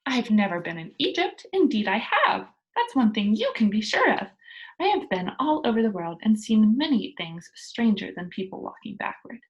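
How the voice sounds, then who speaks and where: A woman's voice: medium pitch, big pitch swings, normal speed, lo-fi sound. A woman voicing a bold, boastful, free-spirited little girl in a storybook reading, with an emphatic, matter-of-fact delivery. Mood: defiant, boastful.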